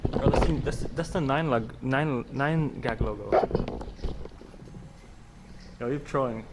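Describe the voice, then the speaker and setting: A man's voice: medium pitch, slow pace. A man live-streaming as he walks outdoors by the water, talking to his chat in a casual, slangy way. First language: not Swedish. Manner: chatty, slangy, talks to chat. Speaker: livestreamer. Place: outdoors by the water, wind and rain. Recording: outdoor noise behind.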